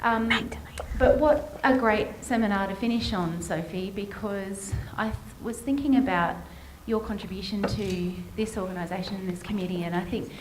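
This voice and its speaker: A woman gives a thank-you speech to a departing chair, pausing often on um. The room echoes around her. Clear background noise comes through. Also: mid-range voice. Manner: halting, many ums. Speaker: committee member presenting a farewell. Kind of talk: speech at an event. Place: event room.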